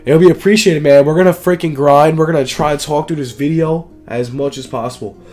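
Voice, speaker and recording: A man in his twenties with a raspy, dry, flu-sick voice, giving casual, slangy gaming video commentary as a YouTube host. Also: fairly high pitch, fairly even intonation, fairly quick, a little mellow. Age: 20s. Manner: slangy, informal, repetitive emphasis. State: sick with flu, sore throat, coughing.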